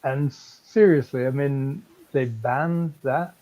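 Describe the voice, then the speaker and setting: A man's voice: medium pitch, takes it slowly. A man co-hosting a live book reading, chatting casually in a wry, rambling way. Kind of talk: casual group chat. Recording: muffled, band-limited sound.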